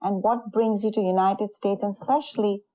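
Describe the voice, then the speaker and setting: A woman's voice: medium pitch, steady tone, normal speed, mellow, rough recording. A woman hosting her own talk show, greeting and questioning her guest in a friendly way. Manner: friendly, laughs easily. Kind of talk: interview hosting.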